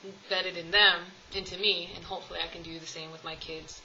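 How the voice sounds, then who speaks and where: Chatty young woman, bright voice. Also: on the low side, flat delivery, fairly quick, muffled recording, slight background noise. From United States. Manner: chatty, rambling with many ums and laughs. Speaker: vlogger. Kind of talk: casual tag video chat. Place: home room, at home with cats.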